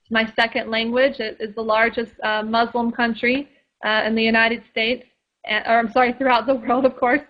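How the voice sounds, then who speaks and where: A woman's voice: a little high, flat delivery, moderate pace. A woman guest in a casual radio interview, chatty and full of fillers and restarts. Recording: dull lo-fi sound.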